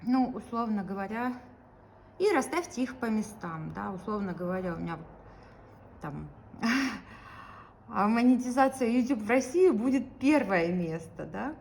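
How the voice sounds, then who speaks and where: A woman's voice: mid-range voice, expressive, normal speed, gravelly, long pauses, darkish tone, a little hiss or hum. A woman hosting her own channel who leads viewers through a goal-setting practice, speaking to them directly with frequent hesitant fillers. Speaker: YouTube channel host.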